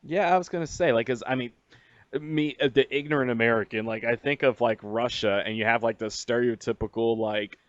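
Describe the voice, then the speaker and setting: A male radio host runs a loose interview, hyped, crude and swearing, often stumbling into restarts. His voice sits at a plain middle pitch. The sound is dry and a little muffled. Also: fairly even intonation, brisk, no room sound.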